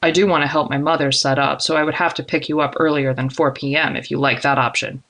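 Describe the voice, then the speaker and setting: Woman voicing a low, friendly, disarming young man. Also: fast, vocal fry, exaggerated voice. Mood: warm, easygoing. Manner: calm, reassuring, casual. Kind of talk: audiobook character dialogue.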